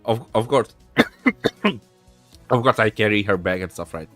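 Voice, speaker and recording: A man's voice: lowish voice, animated, slow, fairly bright tone, faint music behind. A man hosting a live stream, giving frank relationship advice in casual chat, swearing freely and restarting his sentences. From Indonesia. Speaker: streamer host.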